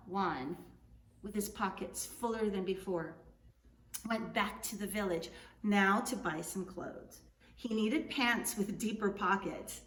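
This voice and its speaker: Expressive woman storyteller in an echoey room. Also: mid-range voice. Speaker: author reading storybook aloud. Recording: echoey room, distant mic, faint noise.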